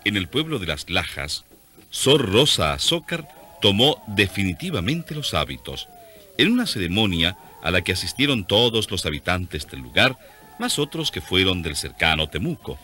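Deep, bright-toned male narrator. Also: big pitch swings, normal speed. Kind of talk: scripted radio drama narration.

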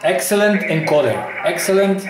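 A man giving a halting demonstration in short, broken phrases, recorded in a room at home. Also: high-pitched, animated, a crowd in the background. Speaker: hobbyist demonstrating a homemade gadget. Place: small home room at a computer desk. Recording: echoey room with clear hum noise.

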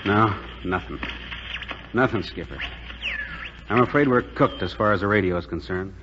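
An actor playing a glum, resigned radio operator of a downed crew in a 1940s radio drama. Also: male voice, fairly low pitch, moderate pace, natural voice. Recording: noisy, muffled 1940s radio recording.

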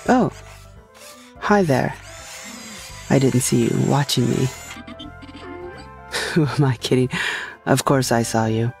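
An audio drama portrait of an unhinged ex-wife, acted by a woman, low and unhurried. She rambles in a husky, sing-song way, sweet and paranoid, with faint music behind her. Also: huge pitch swings, a little mellow, full character voice. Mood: unhinged, taunting.

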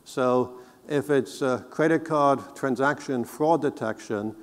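A middle-aged man giving a conference keynote on a technical subject, explaining in a measured, deliberate way. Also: medium pitch. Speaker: keynote speaker. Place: large indoor conference hall, on stage. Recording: reverberant hall sound.

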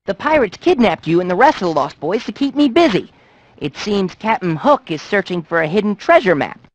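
Boyish adventure kid for a cartoon game, breezy and raspy, really animated. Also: female voice, medium pitch, a little fast, no room sound, poor audio. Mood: brisk, upbeat. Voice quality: husky. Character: cocky, adventurous boy hero, cartoon. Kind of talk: cartoon video game acting.

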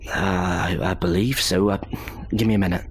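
A man's voice: low-pitched, steady tone, moderate pace, lightly acted. A man playing an earnest, respectful soldier with a hesitant, polite manner in an amateur audio drama. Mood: willing. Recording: faint background ambience noise.

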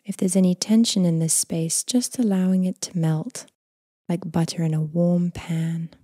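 Soft, breathy, mellow woman guiding meditation. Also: on the low side, dry recording, clean studio sound. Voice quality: soft, breathy, often near whisper. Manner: gentle, unhurried, calming delivery with long phrases trailing off. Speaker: meditation guide. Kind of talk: guided body scan meditation, slow and soothing.

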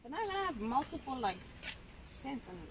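High-pitched, animated young woman joking around. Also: fairly quick, very noisy. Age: young adult. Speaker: vlogger's friend. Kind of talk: casual joking chat. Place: outdoors in a garden and on trails.

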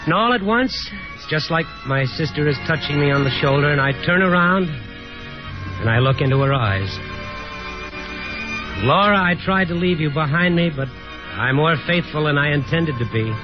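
An actor in a 1950s radio drama playing a restless, wistful son haunted by memory, reflective and dramatic. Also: male voice, a little high, animated, moderate pace, close to an everyday voice. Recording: dull vintage radio recording with music.

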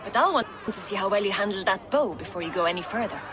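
A woman's voice: medium pitch, animated, quick pace, close to an everyday voice. A woman playing a guarded, brusque Norse warrior in a video game, speaking in a terse, commanding way. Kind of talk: dramatic video game acting. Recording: noisy outdoor ambience, crowd behind.

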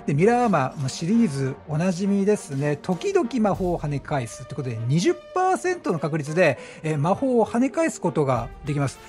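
Animated high-pitched man commentating a game. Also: quick pace, few pauses, soft background music. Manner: chatty, animated, exclaims at the action. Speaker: gaming streamer commentating gameplay. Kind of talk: excited game commentary and explanation.

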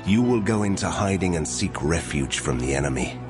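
A man playing God as a solemn, wrathful judge in a dramatized scripture reading, grave, deliberate and menacing. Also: low voice, animated, music in the background. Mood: stern.

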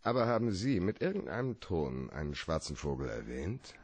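An actor in a German radio play as a cool, cynical, shrewd private detective with a laconic, deadpan way of talking. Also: male voice, deep voice, moderate pace, breathy edge, exaggerated voice.

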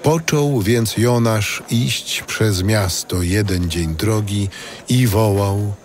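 A man playing a solemn narrator, reading a scripted audio Bible in a measured way. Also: on the low side, a little mellow. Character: solemn male biblical narrator.

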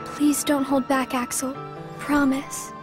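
A teenage girl in a video game with a high, earnest voice. She is solemn and hesitant, yet selfless and quietly determined. Melancholy music swells loudly behind her. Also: little pitch movement, slow pace. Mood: quietly resolute. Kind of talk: dramatic game cutscene acting. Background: loud melancholy music.

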